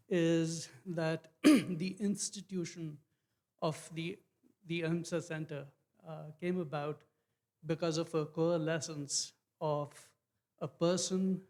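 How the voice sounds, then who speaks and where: Man, fairly high voice, measured and halting, pausing on fillers. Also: a little mellow. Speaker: audience member. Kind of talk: public comment at an event. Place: event hall.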